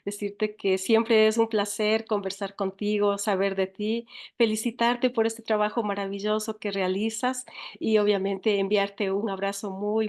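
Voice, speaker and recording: A woman's voice: mid-range voice, a little mellow. A woman from Ecuador with an Ecuadorian accent, speaking conversationally as a guest writer and educator in an interview.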